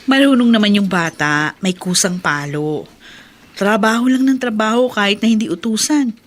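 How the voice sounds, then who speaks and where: An actress playing a kind, chatty and principled schoolteacher wife in a radio drama, speaking frankly and encouragingly. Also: female voice, medium pitch, moderate pace, slight background noise, lightly acted. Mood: firm, persuasive. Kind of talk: Filipino radio drama acting.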